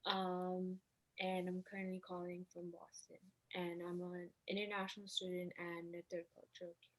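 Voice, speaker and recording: A woman's voice: fairly low pitch, monotone, moderate pace, a little hiss or hum, low-quality recording. A woman in her twenties introducing herself as a panelist on a webinar video call. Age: 20s. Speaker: student panelist.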